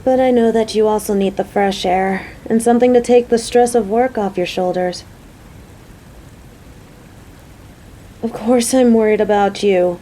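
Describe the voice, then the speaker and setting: A woman's voice: mid-range voice, fairly even intonation, moderate pace, mellow, lightly acted, low-quality recording. A woman playing a caring, motherly female coworker who frets over the person close to her, earnest and affectionate in a romantic audio roleplay. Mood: worried, caring. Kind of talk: scripted romantic audio roleplay spoken to the listener.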